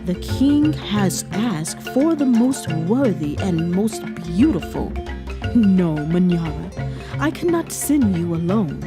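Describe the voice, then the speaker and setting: A woman voicing a dignified, fair-minded village father in a children's folktale, speaking in a measured, firm, formal way. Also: medium pitch, very animated, music in the background. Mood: proud, firm. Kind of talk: read-aloud children's folktale.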